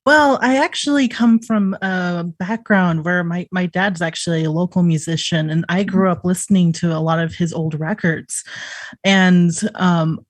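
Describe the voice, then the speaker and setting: An earnest young female pop singer with a low voice, recorded close and dry, played by a woman in a tabletop game. She talks in a thoughtful, conversational way. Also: fairly even intonation, moderate pace, no room sound, lightly acted. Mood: earnest, modest.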